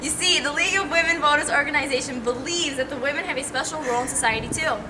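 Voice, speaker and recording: A woman's voice: high voice, fast talker, natural voice. A woman playing an earnest, indignant 1920s women's voting rights protester in an amateur skit. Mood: earnest, defensive. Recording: noisy amateur on-location recording.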